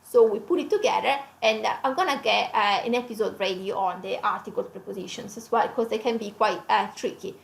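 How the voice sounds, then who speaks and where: A woman's voice: medium pitch, brisk, vocal fry, hardly any pauses. A woman with an Italian accent teaching a language lesson conversationally, repeating phrases and breaking them down step by step in an echoey room.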